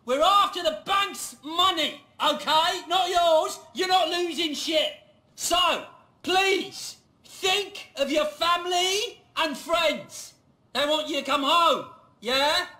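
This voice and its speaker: A manic bank robber in a game. He drags out his emphatic shouts in a very high, piercing voice with room echo. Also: slow, full character voice. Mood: manic, agitated. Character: manic, foul-mouthed South African male robber. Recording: roomy echo.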